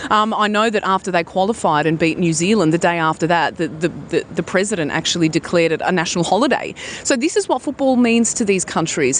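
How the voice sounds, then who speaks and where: A woman's voice: fairly low pitch, a little hiss or hum. A woman football broadcaster answering interview questions fluently and earnestly.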